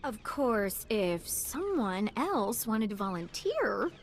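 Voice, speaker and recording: High-voiced, zealous wildlife activist. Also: female voice, sing-song, slow, a little hiss or hum, full character voice. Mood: earnest zeal. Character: zealous female wildlife activist devoted to predators. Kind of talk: video game dialogue. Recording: game music underneath.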